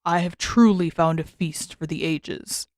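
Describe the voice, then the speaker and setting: A woman's voice: deep voice, a little mellow, no echo, studio quality, pitched below the performer's own voice. Woman as a rich-voiced, gloating monster spirit. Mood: gloating, hungry. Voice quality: rich, unnervingly smooth tone. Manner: slow, savoring, ornate phrasing. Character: menacing, gloating centipede spirit with a human face.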